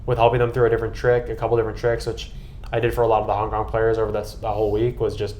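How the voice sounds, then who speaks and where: A man's voice: fairly low pitch, completely flat delivery, racing, a little mellow, slight background noise. An American man chatting casually and earnestly on a podcast, with an enthusiastic manner. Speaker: podcast guest and co-host recapping a trip.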